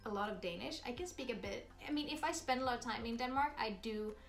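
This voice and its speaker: Swedish woman, 30s, upbeat vlogger, a bit high, soft music underneath. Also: fast talker. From Sweden. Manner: bubbly, upbeat and chatty. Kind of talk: casual Q&A vlog.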